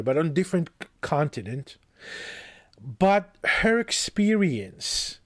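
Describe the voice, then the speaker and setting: A man's voice: on the high side, dry recording. A young man from Georgia, a native Georgian speaker, talking casually about a book he loves, thoughtful and hesitant with many restarts. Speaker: book reviewer.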